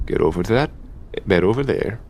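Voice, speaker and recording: A man with an Irish accent playing a possessive, taunting demon lover in an intimate, gravelly ASMR roleplay. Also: fairly low pitch, animated, darkish tone, a little hiss or hum, natural voice. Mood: teasing, seductive. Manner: commanding, foul-mouthed, taunting, drawn-out delivery. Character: possessive, menacing, teasing male high demon of lust.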